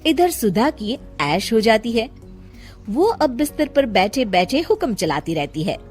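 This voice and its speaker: A woman narrates a cartoon story with a slightly high voice and a lively, rising and falling line. She keeps a steady storytelling cadence. Faint music plays behind her. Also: unhurried.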